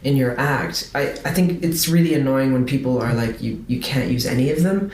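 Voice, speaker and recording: A man's voice: medium pitch, fairly quick, a little mellow. A man talking as a guest on a podcast in casual conversation, chatty and thinking aloud. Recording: roomy sound with faint background noise.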